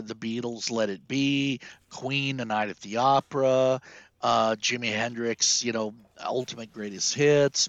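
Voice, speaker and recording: A man's voice: mid-range voice, fairly bright tone, no room sound, dull audio. A man from the Czech Republic chatting casually on a podcast, rambling with crude jokes and many fillers.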